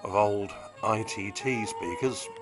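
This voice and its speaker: A man's voice: on the low side, slow pace, music in the background. A British man giving a casual, unscripted demonstration of vintage equipment in a chatty, wry, understated way.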